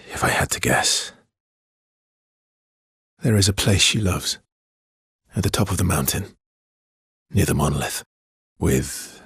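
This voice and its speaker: An actor playing a weary, guarded, sorrowful young man in a video game, speaking softly and haltingly with earnest feeling and a husky, breathy voice. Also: extremely low pitch, no echo, clean studio sound, full character voice. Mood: resigned, subdued. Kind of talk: dramatic video game acting.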